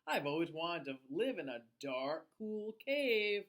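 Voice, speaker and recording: Man voicing a wondering, high-pitched little toy bear. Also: very animated, slow pace, fairly bright tone, exaggerated voice. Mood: dreamy, content. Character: small toy bear, childlike, curious, easily delighted.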